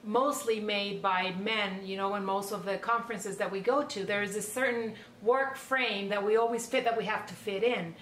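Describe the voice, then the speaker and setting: A woman's voice: mid-range voice, fairly even intonation, normal speed, few pauses, a bit bright. Distant, echoey Mexican woman in conversation. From Mexico City, Mexico. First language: Spanish. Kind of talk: reflective conversation. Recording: distant, echoey recording with faint noise.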